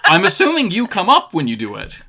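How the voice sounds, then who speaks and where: Chatty male radio host, bright tone. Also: sits high, wildly swooping pitch, brisk, creaky. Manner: rambling, chatty, with many fillers and restarts. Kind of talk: casual chat.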